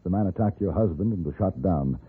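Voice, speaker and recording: Casting: composed western gunman, low animated voice, commanding and inquisitive, on muffled vintage radio. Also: fairly quick, full character voice. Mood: probing. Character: composed, probing gunman-for-hire hero of a western. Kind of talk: 1950s radio western acting. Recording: muffled, rough old radio recording.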